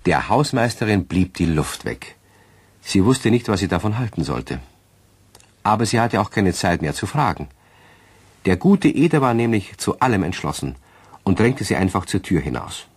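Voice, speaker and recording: An actor as a kindly, gently wry storyteller with a husky voice, narrating a children's radio play. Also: male voice, deep voice, big pitch swings, normal speed, no echo, full character voice. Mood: dramatic, wry.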